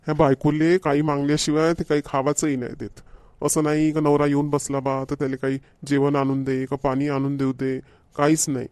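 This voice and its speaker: Guy doing a bossy cartoon village husband, varhadi marathi accent, highish voice, always complaining, dry close sound. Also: level tone, fairly quick, darkish tone, smooth, no echo, lightly acted. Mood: grumbling. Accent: Varhadi Marathi from Vidarbha. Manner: nagging, complaining, repetitive. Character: grumbling, demanding village husband, fussy about food. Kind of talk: comedy cartoon dialogue.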